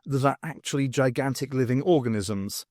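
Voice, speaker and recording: A man's voice: mid-range voice, moderate pace, a little mellow, dry recording, studio quality, close to an everyday voice. A man voicing a fretful male painter who mutters his worries in an audiobook. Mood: worried. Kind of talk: audiobook character voice.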